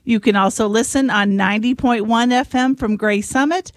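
A woman announcer reading a scripted station notice in a clear, measured way. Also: on the high side, dry recording.

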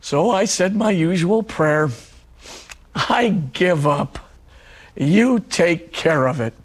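A middle-aged man, a priest, giving a televised religious talk in an earnest storytelling way; his voice is husky and hoarse because he is losing it. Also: sits high, lively intonation, slow pace. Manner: earnest storytelling preacher, repeats phrases for emphasis.

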